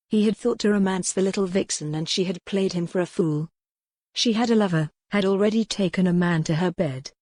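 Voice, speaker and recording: Female audiobook narrator, lowish pitch, dry recording, dramatic scripted storytelling for dark period romance. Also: no echo.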